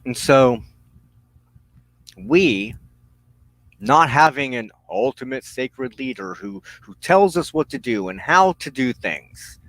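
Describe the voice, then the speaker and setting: A man giving a spiritual teaching monologue as a broadcast host, solemn and deliberate, speaking in halting phrases with pauses. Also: mid-range voice, animated, gravelly, a bit bright, no room sound, low-quality recording. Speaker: religious teacher hosting a broadcast on witchcraft.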